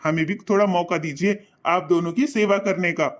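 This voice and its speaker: A man's voice: sits high, steady tone, normal speed, lightly acted. An actor voicing an earnest young son in a cartoon, reassuring his parents in a heartfelt way.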